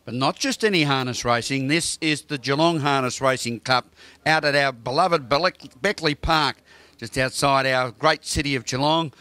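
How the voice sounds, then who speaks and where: A man's voice: mid-range voice, moderate pace, pushed and bright, no echo. A man with an Australian accent presenting local TV from a racecourse lounge, effusive and joking with guests.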